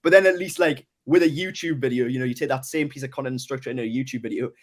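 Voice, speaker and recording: A man's voice: mid-range voice, racing. A man hosting a casual interview with a client, talking enthusiastically and filling his speech with "like". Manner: enthusiastic, heavy use of "like". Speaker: host, agency owner interviewing client.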